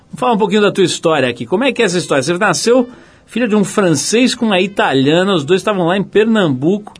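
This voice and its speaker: Relaxed São Paulo radio host. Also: male voice, high voice, fast talker, breathy edge. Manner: relaxed, conversational, frequent "né?". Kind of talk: radio interview.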